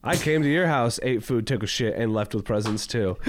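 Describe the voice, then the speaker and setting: A man's voice: mid-range voice, very animated, a little slow, slight background noise. A man in his twenties co-hosting a casual podcast chat, rambling through stories with lots of "like" fillers. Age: 20s. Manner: rambling, heavy use of "like" fillers. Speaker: podcast co-host.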